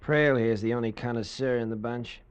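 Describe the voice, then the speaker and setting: A man's voice: mid-range voice, brisk, smooth, highs cut off, natural voice. An actor playing a jaded American expatriate plantation foreman in old radio drama style, blunt, sour and slangy. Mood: sour, fed up. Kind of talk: 1940s-50s radio drama acting.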